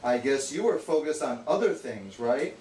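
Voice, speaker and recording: A man's voice: medium pitch, expressive, normal speed, darkish tone, close to an everyday voice. A man voicing a bookish, exasperated know-it-all puppet, explaining in a didactic, slightly condescending way in an amateur educational puppet show. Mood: exasperated, sarcastic. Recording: echoey room, distant mic, hissy noise.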